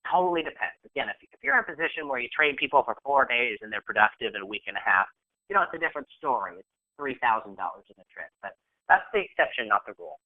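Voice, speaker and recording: A man's voice: mid-range voice, animated, fast, phone-quality sound. A man with a cold and a nasal voice presenting a business webinar in a conversational way, full of fillers and restarts. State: has a cold, congested. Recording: telephone-band webinar audio.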